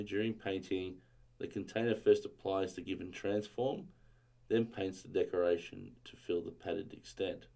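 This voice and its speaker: Low-voiced man live-coding, slightly strained voice. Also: vocal fry, dark tone. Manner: muttering while reading documentation aloud. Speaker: live-stream coding tutor. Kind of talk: casual live-stream tutorial, thinking aloud.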